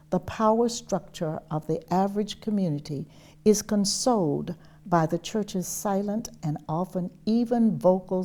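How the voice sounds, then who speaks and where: A woman's voice: lowish voice, warm tone. A woman reading a historic letter aloud in a public reading. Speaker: reader.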